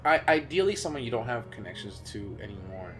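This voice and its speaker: Co-host, male, mid pitch, casual and halting, music clearly audible underneath. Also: very animated, a bit bright. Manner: hesitant, halting prompts with many fillers. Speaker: podcast co-host. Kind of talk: casual chat.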